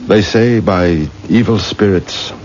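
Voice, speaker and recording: A man's voice: on the low side, takes it slowly, a little mellow. An actor playing an anxious, imploring father of a possessed daughter in a 1970s radio drama. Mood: troubled. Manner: imploring, anguished. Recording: dull, noisy 1970s radio broadcast.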